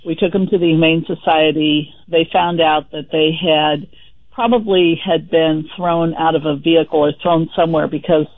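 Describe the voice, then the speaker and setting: A woman's voice: low-pitched, steady tone, moderate pace, no echo. A woman calling a radio show, chatty as she explains her problem. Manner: chatty, storytelling. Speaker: caller. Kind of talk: phone call to a radio show. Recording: tinny phone line.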